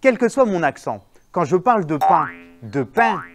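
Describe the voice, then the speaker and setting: A man's voice: high voice, moderate pace, soft background music. A man presenting a popular neuroscience segment, narrating playfully and asking passers-by questions. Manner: lively, explanatory, playful. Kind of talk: narration and street interviews.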